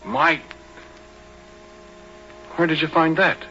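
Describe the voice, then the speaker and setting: An actor playing a rough-hewn American oil-field driller, telling an eerie tale in rambling, folksy language for an old-time radio horror drama. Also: male voice, on the high side, slow pace, bright, forceful tone, exaggerated voice. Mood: startled. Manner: colloquial, rambling storytelling with folksy grammar. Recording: dull vintage radio recording with music behind.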